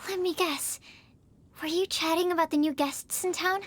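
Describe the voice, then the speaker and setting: A woman voicing a gentle, curious and polite young woman in a video game, with a considerate, slightly tentative manner. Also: very high-pitched, steady tone, normal speed, faint music behind.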